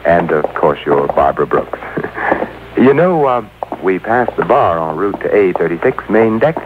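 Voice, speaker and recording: An actor playing a wry, urbane gentleman adventurer in an old-time radio drama, teasing and wisecracking. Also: deep voice, very animated, unhurried, background noise. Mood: flirtatious. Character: suave, wisecracking gentleman adventurer, quick to needle crooks. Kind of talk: 1940s-50s radio drama acting. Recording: tinny old radio drama taped off air, music behind.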